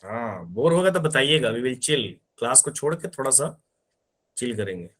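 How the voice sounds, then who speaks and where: A man's voice: mid-range voice, big pitch swings, moderate pace, fairly bright tone. A man teaching physics in an online class, explaining step by step and often checking that his students understand.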